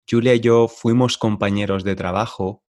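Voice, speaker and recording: A man hosting a podcast for learners, speaking in a clear, measured way. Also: fairly low pitch, fairly even intonation, darkish tone. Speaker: podcast host and Spanish coach.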